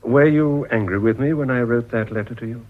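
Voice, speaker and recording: An actor with an English accent playing an earnest, ambitious Edwardian politician in a period radio drama. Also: male voice, mid-range voice, a little fast, lightly acted. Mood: tender, pleading. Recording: dull, slightly muffled old radio recording.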